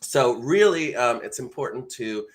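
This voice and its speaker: A man's voice: medium pitch, expressive, measured pace. A man hosting a live voice acting lesson, talking casually and encouragingly and reading practice lines aloud. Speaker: voice acting coach and livestream host. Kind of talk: casual live teaching with read-aloud demonstrations.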